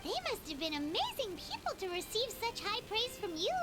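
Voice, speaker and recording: A woman's voice: extremely high pitch, sing-song, a little fast, loud music behind the voice. A woman voicing a chirpy, nosy little floating fairy companion in a game. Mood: eager. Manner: bubbly, insistent.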